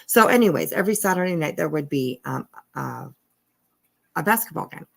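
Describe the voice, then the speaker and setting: A woman's voice: sits low, animated, a little fast, vocal fry. A woman commenting casually and reading documents aloud, irreverent and giggly in manner. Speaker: livestream host.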